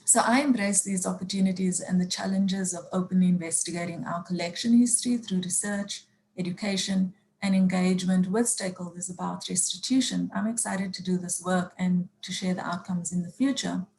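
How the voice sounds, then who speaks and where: A woman's voice: on the low side, fairly even intonation, vocal fry, a little mellow. A woman from South Africa with a South African accent, a museum curator giving prepared remarks and questions on a panel, hesitating often with fillers and restarts. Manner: halting, many fillers and restarts. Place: online panel event.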